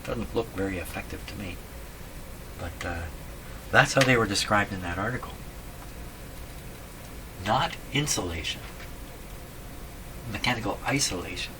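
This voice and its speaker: A man thinking aloud in a casual, halting way as he explains a repair at his workbench, often putting questions to himself. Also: lowish voice. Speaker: radio repair hobbyist, host. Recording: clearly noisy room recording.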